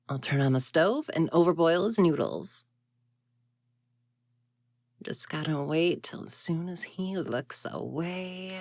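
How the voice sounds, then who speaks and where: A woman's voice: low voice, no room sound, full character voice. A woman playing an exasperated ghost girl in an audio roleplay, huffy and indignant, often muttering to herself. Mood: scheming. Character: grumpy, exasperated tsundere ghost girl haunting a house.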